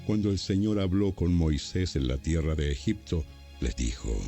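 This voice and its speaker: A man narrating a dramatized audio Bible from a script in a solemn, deliberate way. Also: low voice, animated, dark tone, soft background music.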